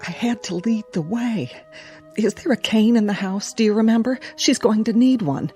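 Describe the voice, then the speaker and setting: A woman's voice: fairly high pitch, normal speed, dark tone, soft background music, close to an everyday voice. A woman playing an anxious farm mother in a radio drama, speaking carefully and with forced reassurance. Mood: subdued worry. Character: anxious farm mother forcing cheerful calm.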